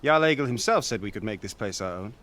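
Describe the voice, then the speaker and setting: An actor playing a bold, wry Viking warrior leader in a video game, speaking in a commanding, declarative way. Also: male voice, lowish voice, expressive, fast, fairly bright tone. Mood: resolute. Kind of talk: dramatic video game acting.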